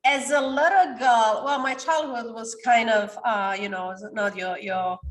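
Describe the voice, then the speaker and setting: A woman's voice: fairly high pitch, fairly even intonation, a little slow, echoey room, poor audio. A woman in her forties from Romania, a coach talking animatedly in a podcast interview and sometimes searching for words. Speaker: guest, coach.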